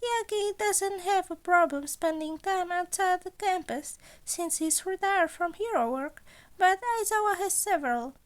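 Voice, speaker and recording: A woman's voice: extremely high pitch, a little slow, voice pushed up. A woman voicing an amused, scheming creature who is a school principal and mentor, polite and playfully sly, in a fan fiction reading. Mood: amused, matter-of-fact.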